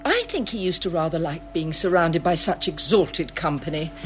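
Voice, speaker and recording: A woman's voice: on the low side, moderate pace, soft background music, natural voice. A woman playing a polite, guarded clerk at a wartime art store in a period audio drama, hesitant and evasive. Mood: polite, informative.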